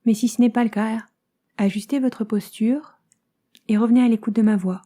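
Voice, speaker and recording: A woman leads a guided relaxation. Her voice is fairly high yet very dark and velvety, softening into breath at the ends of phrases. She gives gentle, soothing instructions and leaves long pauses between them. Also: steady tone. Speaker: yoga nidra teacher guiding a relaxation session. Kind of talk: guided meditation.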